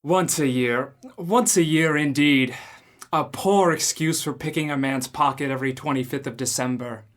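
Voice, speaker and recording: Sour, tight-fisted old miser who snaps out orders; radio play. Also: male voice, fairly high pitch, a bit bright. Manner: curt, scornful, barking orders. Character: stingy grumbling old male miser. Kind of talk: student radio drama acting.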